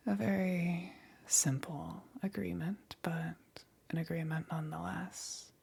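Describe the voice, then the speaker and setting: A woman voicing a menacing, teasing demon queen in an ASMR roleplay, with a breathy, whispery voice and a coaxing, softly amused, commanding manner. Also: fairly low pitch, steady tone, a little slow, heavily acted. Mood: possessive, smug.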